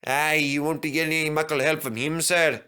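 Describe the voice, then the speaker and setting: A man's voice: on the high side, level tone, fairly quick, sharp tone, clear, resonant tone, voice pushed up. A man voicing mischievous twin steam engines in a children's story, with a Scottish accent and a sly, cheerful manner. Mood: innocent.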